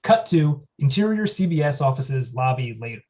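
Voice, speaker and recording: A man's voice: medium pitch, expressive, normal speed, a little mellow. A man reading the stage directions at a table read in a plain, matter-of-fact way.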